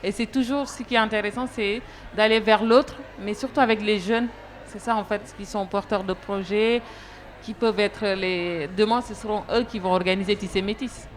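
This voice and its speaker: A woman's voice: mid-range voice, steady tone. A woman co-hosting a panel chat who readily agrees and builds on others' points. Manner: agreeable, quick to back others up. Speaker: co-host.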